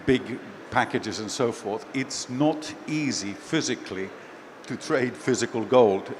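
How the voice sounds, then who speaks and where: A man's voice: medium pitch, a little slow, a little hiss or hum. Man with a light Finnish accent. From Finland. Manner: relaxed, conversational, with fillers. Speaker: panelist, company CEO. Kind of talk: conference panel talk. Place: expo stage.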